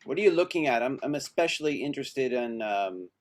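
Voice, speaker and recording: A man attending an online meeting, asking casual questions in a measured, slightly hesitant manner. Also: mid-range voice, expressive.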